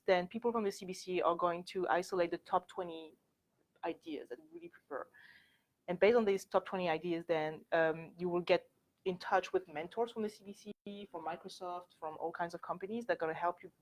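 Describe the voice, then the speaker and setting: A woman's voice: on the low side, rough recording. Chatty French-accented woman presenter. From France. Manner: chatty, candid, filler-heavy with frequent "like" and "actually". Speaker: workshop speaker. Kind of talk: informal presentation to students. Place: university lecture room.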